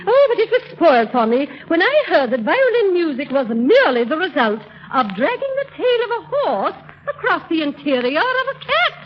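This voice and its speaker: An actress playing an affected, pompous and effusive society matron in a 1930s radio comedy. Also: female voice, very high-pitched, sing-song, normal speed, few pauses. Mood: gushing, amused. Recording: muffled 1930s radio recording.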